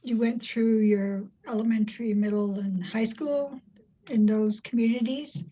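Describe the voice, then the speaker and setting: A woman's voice: on the high side, fairly even intonation, unhurried, dark tone, dull audio. A woman hosting a conversational interview as its interviewer. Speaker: interview host.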